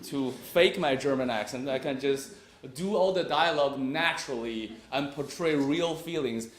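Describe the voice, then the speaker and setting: A young man giving a competitive debate speech, arguing his case with frequent hesitations and fillers. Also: mid-range voice. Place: university debate room. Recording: echoey room.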